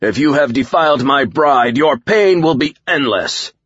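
A man voicing an arrogant, menacing alien warlord in an audiobook, bellowing grandiose, drawn-out pronouncements. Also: mid-range voice, expressive, fairly bright tone, slightly rough, highs cut off, pitched above the performer's own voice. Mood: furious accusation.

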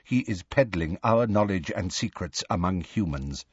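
A man voicing a proud, stern male centaur in a storybook, speaking in a formal, measured and calmly menacing way. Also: mid-range voice, no room sound, highs cut off, voice pushed up. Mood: quiet disapproval.

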